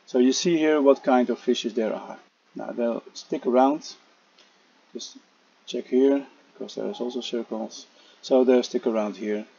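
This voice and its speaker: A man walks through a game tutorial in a casual voice-over, pausing often on "uh" and restarting his sentences. His voice is low-toned and a little husky, and it comes through a dull, lo-fi home microphone. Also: dark tone. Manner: halting, many "uh" fillers and restarts. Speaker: gameplay tutorial narrator.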